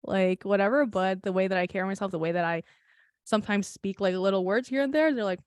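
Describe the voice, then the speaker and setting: A woman's voice: mid-range voice, quick pace, darkish tone, clean tone, no echo. A young woman co-hosting a podcast in casual chat, rambling with lots of fillers, laughing often and swearing. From United States. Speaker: podcast co-host.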